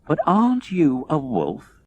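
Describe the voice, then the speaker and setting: A man's voice: a little high, wildly swooping pitch, a little slow. A man voicing a brave, polite, curious little girl in a children's audiobook, speaking earnestly and frankly. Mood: curious, concerned. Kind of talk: children's audiobook character acting. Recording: faint noise, old digitized audiobook recording.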